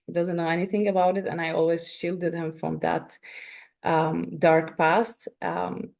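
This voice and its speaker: A woman's voice: fairly low pitch, flat delivery, a little slow, creaky, darkish tone. A woman from Syria in her thirties, a writer being interviewed, speaking reflectively with many fillers and restarts. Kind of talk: emotional interview answers.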